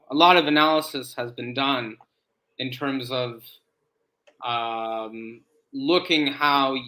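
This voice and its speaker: Bright-voiced man explaining at measured pace. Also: medium pitch, poor audio. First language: English. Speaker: guest, academic in Slavic studies. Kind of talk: casual interview chat.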